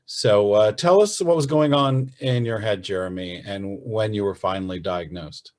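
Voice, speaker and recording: Low-voiced man hosting, halting with fillers. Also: a little mellow. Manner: hesitant, with many "uh" and "um" fillers and restarts. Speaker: radio host and interviewer. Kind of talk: casual interview chat. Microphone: video call.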